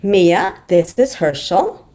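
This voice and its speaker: A woman's voice: lowish voice, animated, slow pace, mellow. A woman playing a gushing, pushy matchmaker at a screenplay table read.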